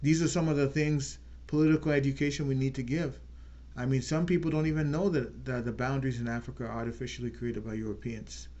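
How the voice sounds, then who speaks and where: A man hosting his own channel, giving an earnest unscripted political talk and slowing down to stress key words. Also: mid-range voice, steady tone, dark tone, muffled recording. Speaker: channel host.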